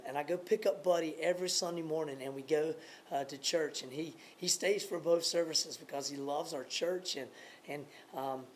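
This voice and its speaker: A raspy, hoarse man in his thirties speaks earnestly to camera, still recovering his voice after a long cold. His voice sits somewhat high, and he hesitates often with fillers. A faint hiss of noise runs under the recording. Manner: earnest, halting, many uh fillers. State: hoarse from a cold, voice partly gone. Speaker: campus church planter giving a supporter update. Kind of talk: casual video update.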